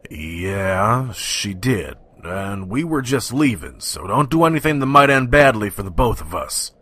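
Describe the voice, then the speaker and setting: A man voicing a wary, gruff stallion trader who narrates a wasteland audio drama tersely. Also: fairly low pitch, very animated, measured pace, sharp tone, reverberant, recorded from a distance, full character voice. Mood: tense, guarded. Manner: terse, guarded. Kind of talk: first-person story narration and dialogue.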